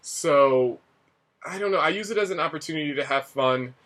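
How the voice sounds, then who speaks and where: A man's voice: fairly high pitch, normal speed, a bit bright. Rambling young man, casual vlog chat. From United States. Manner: rambling, conversational, many fillers. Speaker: English teacher in Asia. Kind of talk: casual talking-head vlog.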